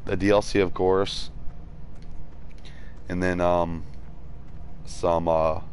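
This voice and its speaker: A man's voice: little pitch movement, takes it slowly, a little mellow, slight background noise. A man with a sore throat, chatting casually and rambling with many fillers while livestreaming a game. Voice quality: deep and gravelly. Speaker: streamer.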